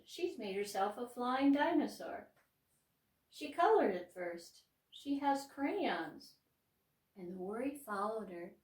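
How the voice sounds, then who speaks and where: Slow, gentle storytime reader in a roomy church room. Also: female voice, on the high side, far from the mic. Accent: Upper Midwest American. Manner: gentle, slow and expressive, pausing to explain pictures. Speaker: children's librarian hosting storytime. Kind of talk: reading a picture book aloud to children. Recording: roomy sound, mic set back.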